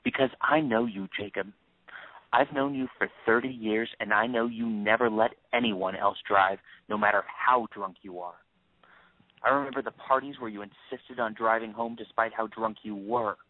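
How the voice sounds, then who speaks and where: An actor playing a middle-aged, grieving father out for revenge, measured, accusing and menacing in an audio drama. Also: on the low side, fairly even intonation, no room sound, close to an everyday voice. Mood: accusing, controlled. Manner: measured, accusing, menacing, dwells on repeated phrases. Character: vengeful grieving father, coldly confronting his oldest friend. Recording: phone-like lo-fi line.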